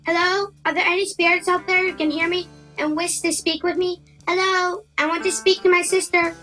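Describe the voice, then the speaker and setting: A woman's voice: extremely high pitch, little pitch movement, a little fast, bright, forceful tone, soft background music, rough recording. A female performer playing a lonely, impatient little girl in an audio drama. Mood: hopeful.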